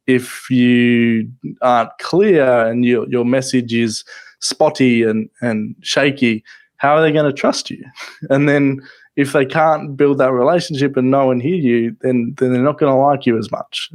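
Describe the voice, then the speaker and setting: Soft-spoken man close on the mic. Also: medium pitch, steady tone. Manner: soft-spoken, halting with many restarts. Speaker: podcasting guest. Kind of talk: casual interview chat. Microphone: close microphone.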